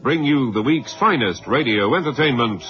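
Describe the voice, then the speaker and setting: Animated radio announcer man over music. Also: mid-range voice, moderate pace. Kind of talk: scripted radio drama introduction. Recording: dull old radio broadcast with music.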